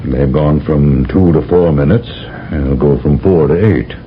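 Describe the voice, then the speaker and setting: A solemn military general who lectures in slow, measured phrases. His voice sits very deep. It comes through a dull, lo-fi old radio broadcast with faint hiss. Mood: grave. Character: grave older male general, commanding, briefing an agent. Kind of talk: 1970s radio drama acting.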